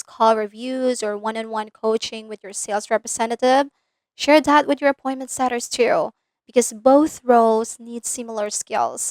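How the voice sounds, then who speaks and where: A woman's voice: fairly high pitch, dry recording. A woman from the Philippines with a Filipino accent, giving a scripted business lesson in a direct way and stopping to ask the viewer checking questions. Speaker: business coach. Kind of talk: scripted instructional video.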